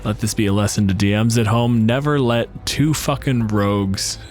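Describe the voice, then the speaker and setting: Low-voiced man as game master over music. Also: fairly even intonation, normal speed. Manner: dramatic descriptive narration mixed with casual swearing. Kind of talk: narrating a tabletop fantasy game, casual table talk. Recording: loud music bed behind voice. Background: background music.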